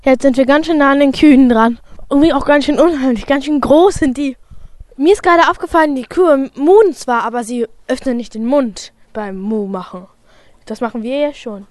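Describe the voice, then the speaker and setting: A woman's voice: high-pitched, moderate pace, a little mellow, no echo. A woman reporting informally on location at a farm, chattily describing what is happening around her. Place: on a farm, mostly outdoors.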